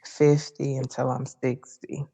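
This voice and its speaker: A person in their forties co-hosting a podcast, upbeat and joking, with quick asides. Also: medium pitch, flat delivery, mellow, slightly rough. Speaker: podcast co-host. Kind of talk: casual chat.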